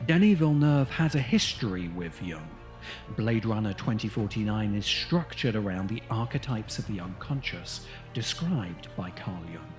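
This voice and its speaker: A man delivering scripted documentary narration in a measured, deliberate way. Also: lowish voice. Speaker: narrator, video essayist. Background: music bed.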